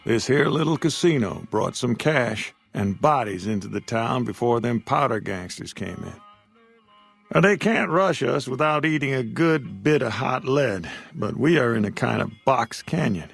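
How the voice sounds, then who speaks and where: A gravelly old frontier shopkeeper. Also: male voice, medium pitch, lively intonation, moderate pace, fairly bright tone, soft background music, heavily acted. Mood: weary. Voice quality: gravelly drawl. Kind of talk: video game dialogue.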